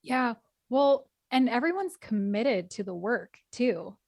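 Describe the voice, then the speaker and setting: A woman's voice: fairly high pitch, lively intonation, slow pace, no echo. A woman coach appearing as a podcast guest, agreeing enthusiastically in casual conversation.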